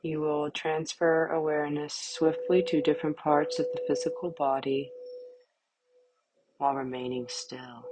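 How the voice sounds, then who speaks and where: A woman guiding a yoga nidra relaxation in a calm, soothing manner, with short, spaced phrases. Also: deep voice, rough recording. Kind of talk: guided relaxation meditation.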